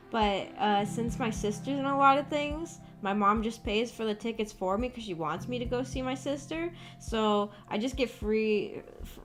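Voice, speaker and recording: A woman hosts a casual livestream, talking to viewers at a middling pitch for a female voice. Her talk rambles along informally, like chat between friends. Music plays clearly behind her. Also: a bit bright. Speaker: livestream host chatting with viewers. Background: background music.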